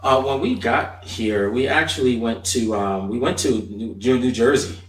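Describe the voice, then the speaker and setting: A man's voice: mid-range voice, normal speed. A man from Nigeria, a native Igbo speaker, telling his personal story casually and emphatically as a talkative podcast guest. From Nigeria, moved to the US aged eight. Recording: roomy echo, distant from mic.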